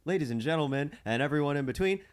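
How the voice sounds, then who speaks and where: A man's voice: on the high side, lively intonation, racing, over a noisy crowd. A man hosting a podcast in casual, playful comedic banter. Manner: playful, joking. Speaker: podcast host.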